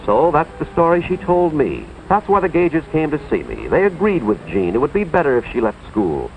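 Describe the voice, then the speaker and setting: An American man playing an earnest, authoritative school official, narrating a cautionary tale in a deliberate, moralizing 1950s educational film style, with dramatic emphasis. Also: a little high, wildly swooping pitch, a little hiss or hum. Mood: earnest, firm. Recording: tinny, band-limited 1950s film soundtrack, music behind.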